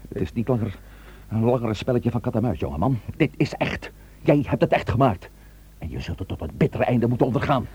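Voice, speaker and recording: Stern crisis-handler, mellow dark timbre, widely swinging intonation, grave, emphatic, radio play. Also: male voice, medium pitch, expressive, fairly quick, husky. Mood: admonishing. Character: stern, authoritative man taking charge of a crisis. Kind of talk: radio drama acting.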